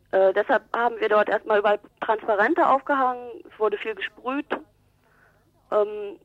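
A woman's voice: medium pitch, dry recording. A woman giving a spontaneous eyewitness account as an activist, hesitant and loosely phrased. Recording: dull telephone-like radio clip.

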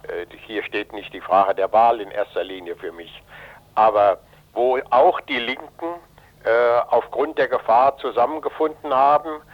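An older man answering in a political interview, speaking in a deliberate, halting way. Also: mid-range voice. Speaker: interviewee, author. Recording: dull, band-limited radio interview recording.

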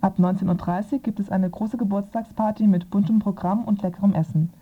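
A woman's voice: mid-range voice, fairly even intonation, a little fast, breathy edge. A woman reporter narrating a scripted radio magazine piece. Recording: thin, phone-like lo-fi radio sound.

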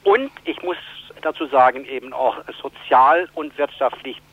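Halting man on a dull phone line. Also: medium pitch, husky. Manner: halting, hesitant phrasing. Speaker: children's aid organisation spokesman. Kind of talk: interview answers.

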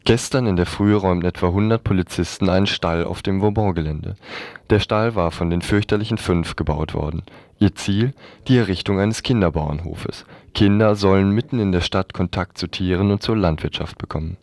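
A man's voice: low-pitched, a little fast, a little mellow, no echo. A man reading scripted radio news in a sober, matter-of-fact manner.

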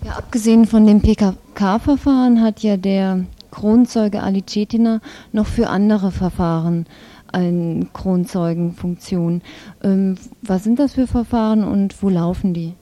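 A woman's voice: medium pitch, unhurried, mellow. A woman working as a radio interviewer, putting questions to a guest.

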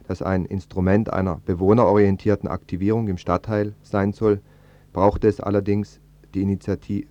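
Man reporting, slightly deep, dull radio sound. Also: darkish tone. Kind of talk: scripted news report.

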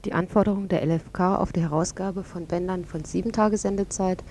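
A woman's voice: on the low side, fairly even intonation, a little fast, background noise. A woman radio presenter reading a scripted news commentary.

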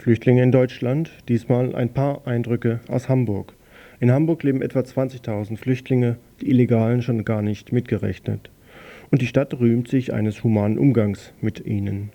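A man presenting a daily news program on a community radio station, reading his introductions in a measured, slightly halting way. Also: mid-range voice, steady tone, highs cut off.

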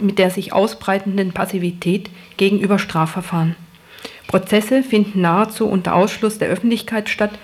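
A woman narrating a scripted news report as a radio reporter. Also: mid-range voice.

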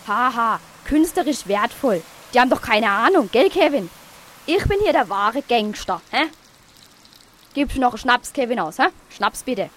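A woman's voice: fairly high pitch, lively intonation, normal speed, fairly bright tone. A woman playing a brash, wounded male would-be gangster rapper with a Swabian accent and pushy, slangy talk in a radio play. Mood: boastful, frustrated. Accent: Swabian German.

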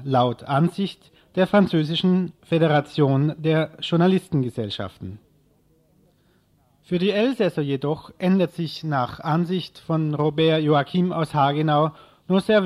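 Higher-voiced man reading a radio report. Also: animated, measured pace, darkish tone. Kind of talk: scripted news report.

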